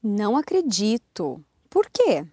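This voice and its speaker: Lively woman co-host with a dry, close sound. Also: mid-range voice, a little slow, breathy edge, no echo. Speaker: co-host reading dialogues.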